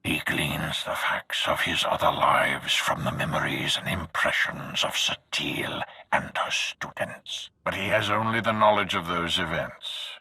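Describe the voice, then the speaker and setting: An actor playing a grave, vengeful spirit in a video game, with a raspy, forceful voice and solemn, declamatory delivery. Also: male voice, low-pitched, unhurried, hardly any pauses, no echo, exaggerated voice. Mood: grave warning. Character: grave, vengeful male spirit urging destruction of a tyrant. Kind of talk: video game character acting.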